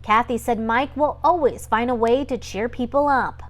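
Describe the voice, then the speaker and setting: A woman's voice: on the high side, moderate pace. A woman with an American accent narrating a scripted TV news report.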